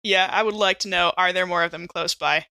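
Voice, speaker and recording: Bright-voiced woman in a dry recording. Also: on the low side, steady tone, a little fast, creaky, no echo. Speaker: player in a tabletop role-playing game. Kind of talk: casual game-table chat.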